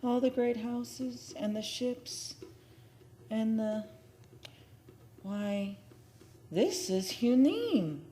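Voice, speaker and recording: An actress plays a dazed, yearning woman of vintage radio melodrama. Her voice is mellow and her words come slowly and haltingly, as if lost in grief. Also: on the high side, expressive, a little hiss or hum. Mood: dazed wonder. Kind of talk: vintage radio drama acting. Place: theater stage, performed live for radio.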